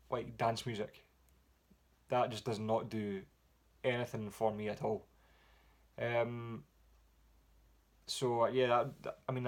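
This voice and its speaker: Rambling Scottish man vlogging. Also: medium pitch. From Scotland. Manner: relaxed, rambling with many ums. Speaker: music vlogger. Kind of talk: casual Q&A vlog.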